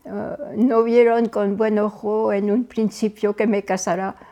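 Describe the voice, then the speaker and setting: An older woman with a native French accent reminisces in an interview. She speaks slowly, with a creaky, gravelly edge and a mellow, soft-toned voice. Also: medium pitch, flat delivery. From France. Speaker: interview guest. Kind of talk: reminiscing in conversation.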